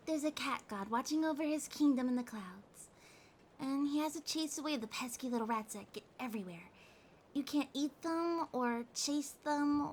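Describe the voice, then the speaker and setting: A woman voicing a needy, haughty but affectionate young cat girl in a soft, drowsy, pouty ASMR roleplay. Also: sits high, a little hiss or hum, full character voice. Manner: pouty, teasing, drowsy, speaking softly to a partner. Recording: steady rain sounds behind voice. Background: rain ambience.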